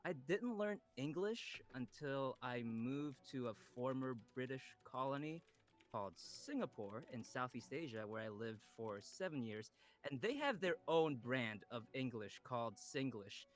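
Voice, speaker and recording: A man's voice: mid-range voice, animated, a little slow. A Vietnamese-born man whose first language is Vietnamese, a voice actor guest chatting casually with lots of restarts and laughs. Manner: laughing, self-deprecating, stumbling restarts. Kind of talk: casual interview chat.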